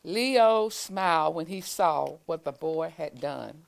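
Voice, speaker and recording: A woman reading a children's storybook aloud, with deliberate, expressive phrasing, as school staff do for young listeners. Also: low-pitched, gravelly. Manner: deliberate, expressive phrasing with pauses to show pictures.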